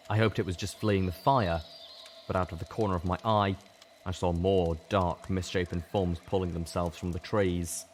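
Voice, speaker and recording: A man narrating a scripted first-person story in a dramatic, deliberate storytelling manner. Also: sits low, slight background noise. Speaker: audiobook narrator.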